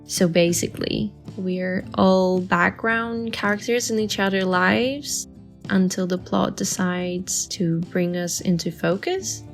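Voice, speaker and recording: A woman's voice: medium pitch, takes it slowly, vocal fry. A woman acting a playful, thoughtful young woman in an intimate bedtime audio drama. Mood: playful, curious. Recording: soft guitar music behind the voice.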